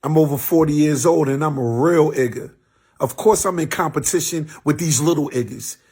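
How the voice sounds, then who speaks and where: A man's voice: a little high, normal speed, darkish tone, breathy edge. An actor playing a boastful man in his forties who brags about jail, in a satirical skit with a swaggering, repetitive chant. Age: 40s.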